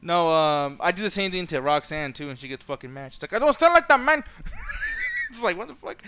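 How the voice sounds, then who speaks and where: A man's voice: on the high side, sing-song, brisk, pushed and bright, a little hiss or hum. A man casually chatting, cursing and laughing while streaming a horror game. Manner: profane, joking, laughs often. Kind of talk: casual gaming stream chat.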